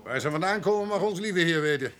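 High-voiced, hearty, boastful innkeeper. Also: male voice, lively intonation, fast talker, fairly bright tone. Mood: jovial, boastful. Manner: jovial, exclamatory, garrulous. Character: hearty, boastful innkeeper, jealous father of grown daughters. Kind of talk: Dutch radio drama acting.

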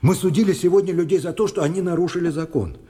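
An actor in a Russian radio play playing a principled, experienced defence lawyer, measured, probing and ironic. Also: male voice, high-pitched, mellow, close to an everyday voice. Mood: earnest.

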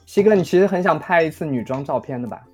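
A man with a very animated, swinging intonation trades playful, teasing banter as a guest on a casual show. Faint music sits behind him. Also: fairly high pitch, fast talker. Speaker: voice actor guest.

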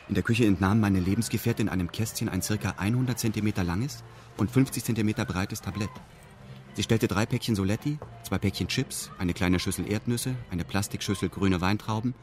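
A man's voice: lowish voice, darkish tone, close to an everyday voice. A man narrating a radio drama in the first person, in a formal, detached and meticulous manner. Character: detached man recounting events with pedantic exactness.

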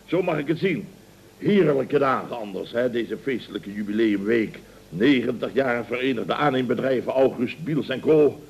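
An actor playing a pompous, self-satisfied middle-aged contractor boss in radio comedy, blustering and repeating himself with rhetorical questions. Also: male voice, medium pitch, lively intonation, moderate pace, darkish tone, slight background noise, close to an everyday voice. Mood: pompous, self-important. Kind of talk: 1970s Dutch radio comedy acting. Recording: dull old radio recording.

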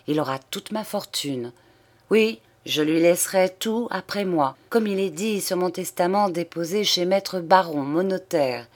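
Woman voicing an excitable, vain old man, theatrical. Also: on the low side, close to an everyday voice. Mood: fond, emphatic. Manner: exclamatory, emphatic, talkative. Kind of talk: audiobook character dialogue.

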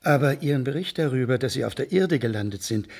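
An actor in a comic radio play playing a staid, worried petty official with an earnest, pedantic manner. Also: male voice, medium pitch, expressive, fast talker, creaky, a little mellow. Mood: worried, resigned.

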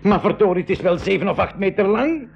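An actor playing a concerned, commanding professor uncle in a radio drama. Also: male voice, high voice, animated, quick pace, vocal fry, breathy edge, full character voice. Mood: alarmed. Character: adult professor uncle, commanding, concerned leader of young adventurers. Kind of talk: Dutch-language 1980s radio play acting. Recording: dull, noisy old radio recording.

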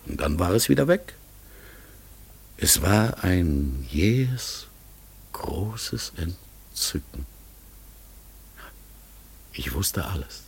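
An actor playing a melancholy, pensive talking raven in a radio play, gravelly and husky, halting and searching as he speaks. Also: low voice, sing-song, heavily acted. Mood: wistful, halting. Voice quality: gravelly, husky, breaking into whispers. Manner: slow, halting, searching, self-correcting. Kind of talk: German radio play acting.

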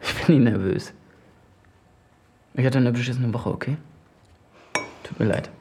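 An actor playing a jealous, sarcastic husband in a naturalistic radio drama, curt and needling. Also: male voice, medium pitch, measured pace, background noise, natural voice. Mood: defensive, irritated. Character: tense, jealous, sarcastic husband, an interior designer.

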